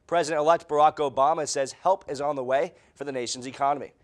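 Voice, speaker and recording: A man's voice: a little high, steady tone, quick pace. A young man with an American accent reads scripted news in a formal newscaster delivery, as a reporter and anchor. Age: young adult.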